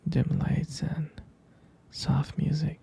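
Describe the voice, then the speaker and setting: Breathy whispering boyfriend, acted by a man. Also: a little high, flat delivery, dark tone, exaggerated voice. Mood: tender, nostalgic. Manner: hesitant, intimate, halting delivery. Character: tender, jealous, devoted boyfriend soothing his partner. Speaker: ASMR roleplay performer. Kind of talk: whispered boyfriend ASMR roleplay.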